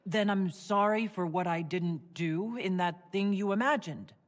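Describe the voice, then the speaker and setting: An actress voices a female warrior hero in a fantasy video game, with a middling pitch. She is composed and caring with her partner. Her delivery is measured and gently probing. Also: lightly acted. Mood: calm, concerned. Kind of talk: video game cutscene acting.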